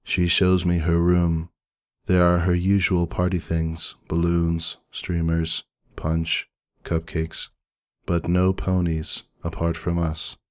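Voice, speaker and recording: A man voicing a stoic older sister pony, narrating a story in a terse, deadpan way. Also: low voice, takes it slowly, no room sound, studio quality. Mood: stoic, somber. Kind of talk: dramatic first-person story reading.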